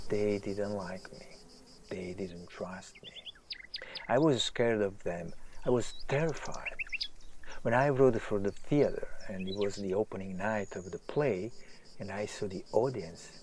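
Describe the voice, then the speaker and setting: An actor playing an anguished, self-doubting writer in an audio drama, intense and brooding, moving between hushed and emphatic delivery. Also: male voice, fairly low pitch, natural voice. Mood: hushed, bitter. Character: anguished, self-doubting famous male writer, restless and self-deprecating. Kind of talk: naturalistic audio drama monologue. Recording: clear background hiss, outdoor nature ambience.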